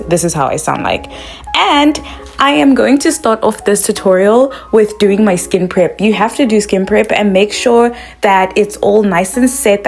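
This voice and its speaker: A young woman from South Africa, a makeup vlogger talking through a tutorial in a bubbly, chatty way, full of "like" fillers. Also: on the low side.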